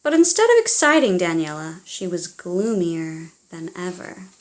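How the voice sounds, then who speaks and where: A woman reading a children's storybook aloud in a theatrical, expressive way, as if speaking directly to young listeners. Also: lowish voice, moderate pace, low-quality recording. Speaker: children's audiobook reader.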